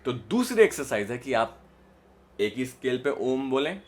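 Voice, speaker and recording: A man's voice: medium pitch, very animated. A young man teaching voice modulation in a casual spoken lesson, explaining exercises patiently and demonstrating them himself. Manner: slow, explanatory, repeats a line on rising notes. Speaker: voice coach.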